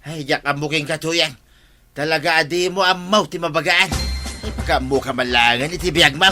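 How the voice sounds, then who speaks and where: A man's voice: on the high side, moderate pace, music in the background, lightly acted. A man acting an exasperated, scolding husband in a comedy radio drama, with an Ilocano accent.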